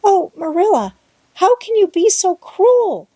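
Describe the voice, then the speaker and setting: An excitable young girl from a storybook, acted by a woman, chattering with breathless drama and vivid imagination. Her voice leaps upward from a soft, mellow base. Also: very high-pitched, unhurried, dry recording, voice pushed up, full character voice. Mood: tearful pleading. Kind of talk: storybook character voice in audiobook.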